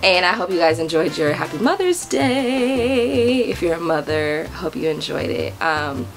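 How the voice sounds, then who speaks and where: A woman's voice: lowish voice, very animated, unhurried, vocal fry, soft background music. Giggly, chatty young woman vlogger. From United States. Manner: bubbly, giggly, chatty with many fillers. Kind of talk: casual vlog chat to camera.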